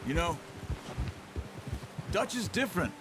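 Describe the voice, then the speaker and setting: A man playing a calm, plainspoken outlaw hunter in a western video game, terse and serious, with an American accent. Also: sits high, a little slow, noisy background. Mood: reflective. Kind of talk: video game dramatic dialogue.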